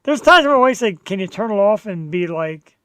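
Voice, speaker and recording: A man's voice: sits high, animated, moderate pace, pushed and bright, clean tone, no echo, studio quality. A man hosting his own podcast, talking casually in an earnest, rambling, self-deprecating way. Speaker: podcast host. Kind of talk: casual chat.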